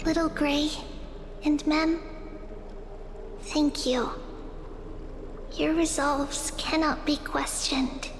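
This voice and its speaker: High girlish priestess, solemn. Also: little pitch movement, very slow, long pauses, noisy background, full character voice. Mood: solemn, encouraging. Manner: ceremonial, formal. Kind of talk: video game voice acting. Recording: long reverb, music behind.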